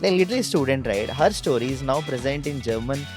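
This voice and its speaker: A man's voice: medium pitch, animated, quick pace. Young indian guy chatting informally in an interview, mid voice, faint music behind. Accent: Indian English. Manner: informal, peppered with "like" and "literally". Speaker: startup founder, interview guest. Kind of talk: casual storytelling in an interview.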